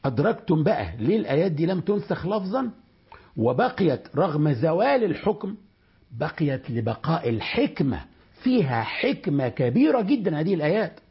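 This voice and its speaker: A man with an Egyptian accent teaching a Quran commentary lesson, explaining verses in colloquial speech and reciting them slowly. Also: a little high, very animated, husky. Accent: Egyptian Arabic. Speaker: religious teacher. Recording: dull lo-fi recording.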